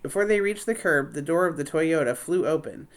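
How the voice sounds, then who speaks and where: Low-pitched woman reading aloud. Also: brisk. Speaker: read-aloud reader. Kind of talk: children's book narration.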